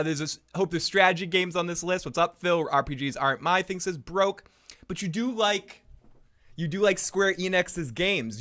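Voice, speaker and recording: Animated, profane man streaming live. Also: fairly high pitch. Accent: Boston. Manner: profane, rambling, excitable outbursts. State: sick. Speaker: podcast host. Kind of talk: casual live-stream chat.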